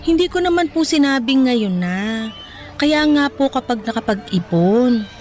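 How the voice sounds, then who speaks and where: A woman's voice: sits high, lively intonation, a little slow, a little mellow. A woman playing a young Filipino new mother in a radio drama, a respectful but defensive daughter who speaks earnestly. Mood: hurt, defensive.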